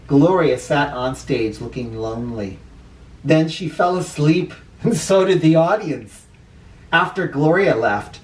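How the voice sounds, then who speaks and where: Animated man reading a storybook, roomy sound. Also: fairly high pitch, a little hiss or hum. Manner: expressive, playful, acts out dialogue and exclamations. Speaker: picture book reader for children. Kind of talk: animated storybook read-aloud. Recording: roomy echo, distant microphone.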